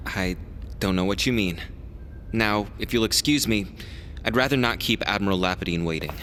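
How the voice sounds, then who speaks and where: A man's voice: lowish voice, normal speed, a bit bright, a little hiss or hum, lightly acted. A man playing a blunt, distrustful young lieutenant in an audio drama, curt and formal. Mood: guarded.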